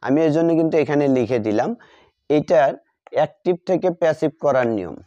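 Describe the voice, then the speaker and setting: A man teaching a grammar lesson, repeating key words slowly as he writes them out. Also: a little high, expressive, dark tone. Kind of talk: classroom lesson at a board. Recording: dull lo-fi sound.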